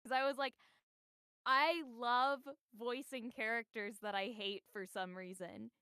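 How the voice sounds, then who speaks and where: A woman's voice: on the high side, fairly even intonation, measured pace, bright, forceful tone, clear, resonant tone, dry recording, studio quality. A woman voice actor talking enthusiastically in a casual livestream Q&A, with frequent fillers. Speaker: voice actor guest.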